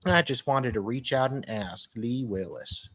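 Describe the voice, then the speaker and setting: Male co-host, average pitch, dry close sound, reads aloud between bits of casual chat. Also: measured pace, a bit bright, dry recording. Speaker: co-host reading emails aloud. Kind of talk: reading emails aloud amid casual chat.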